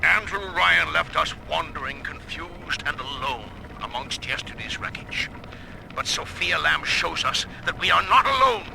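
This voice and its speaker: Gravelly, fervent cult preacher. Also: male voice, fairly high pitch, unhurried. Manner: rousing, declamatory. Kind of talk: sermon in an audio log. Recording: faintly noisy audio log.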